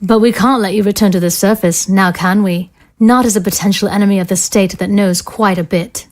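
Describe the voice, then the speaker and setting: A woman's voice: medium pitch, fairly even intonation, close to an everyday voice. A woman acting in an audio drama as a cold, ruthless scientist in authority, speaking in formal, sardonic phrases. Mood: smug, threatening. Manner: formal, sardonic, measured wording.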